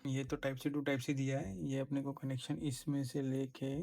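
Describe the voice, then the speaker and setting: A man's voice: mid-range voice, level tone, a little slow, gravelly, over music. A man vlogger casually explaining a hands-on installation step by step, giving practical tips as he works. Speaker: motorcycle vlogger.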